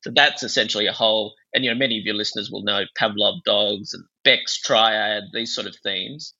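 A man from Bangladesh talks through ideas as an expert interview guest, discursive and intellectual. His voice is lowish and very bright, with a creaky edge. The audio is slightly dull and lo-fi. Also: steady tone, a little fast. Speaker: psychiatrist and author, interview guest.

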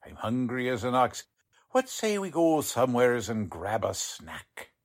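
A man acts out a folksy police lieutenant from a 1940s radio play. He is blunt and plain-spoken, with rough grammar and slang. His mid-range voice jumps up and down with lively expression. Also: mid-range voice, a little slow. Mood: genial, folksy. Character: blunt, folksy male police lieutenant, none too polished. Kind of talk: 1940s radio drama acting.

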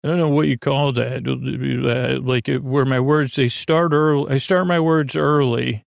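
A man with a creaky voice hosts a sleep podcast, rambling through a bedtime monologue with halting repetitions and drawn-out words. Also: medium pitch, steady tone, dry recording. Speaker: podcast host. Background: music.

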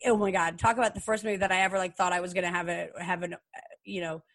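Excitable American woman, close dry sound. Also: on the low side, very fast, no room sound. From New Jersey, United States. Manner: gushing, excitable, profane, rambling. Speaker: podcast co-host. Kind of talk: casual, explicit pop-culture chat.

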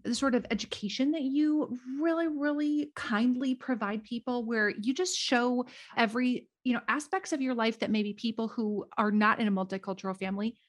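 A woman hosting her own podcast, chatting warmly and enthusiastically with a guest. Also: fairly high pitch, normal speed, few pauses. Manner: enthusiastic, affirming. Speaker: podcast host. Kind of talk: casual interview chat.

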